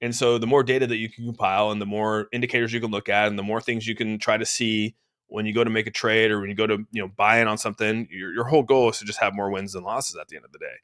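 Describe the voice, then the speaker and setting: Chill streamer dude a bit stuffy, lowish voice, rambles and says dude a lot. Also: male voice, steady tone, quick pace, a bit bright. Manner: laid-back, rambling, says "dude" often. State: slightly congested. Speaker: crypto livestream host. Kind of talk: casual chart talk answering live chat. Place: room at home.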